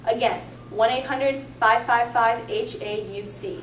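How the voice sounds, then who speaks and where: A teenage girl performing a mock vintage commercial in a classroom, with a bright, peppy sales pitch. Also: steady tone, a little slow, slight background noise. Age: teens. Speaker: student actor in a mock ad. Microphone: far camera mic. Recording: echoey room, distant camera audio.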